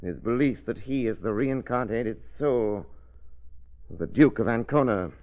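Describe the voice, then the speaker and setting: An actor playing an inquisitive, urbane man investigating a mystery in a 1930s radio drama, deliberate and intent as he explains. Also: medium pitch, close to an everyday voice. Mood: urgent, suspicious. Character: inquisitive, urbane amateur sleuth piecing together a sinister plot. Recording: muffled 1930s radio recording.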